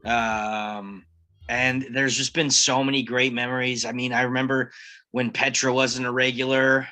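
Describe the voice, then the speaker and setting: Man, bright-toned, rambling anecdote over a video call, upbeat and casual. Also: medium pitch, level tone, measured pace. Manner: rambling and upbeat, with laughs and fillers. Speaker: cast member of a live table read podcast.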